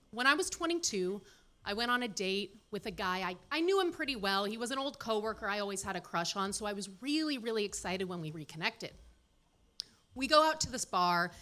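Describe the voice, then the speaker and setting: Woman in her late 30s doing standup, high bright voice, bouncy delivery, dry self-roasting jokes. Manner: dry, self-deprecating punchlines. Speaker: stand-up comedian. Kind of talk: stand-up comedy set. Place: comedy club stage with live audience.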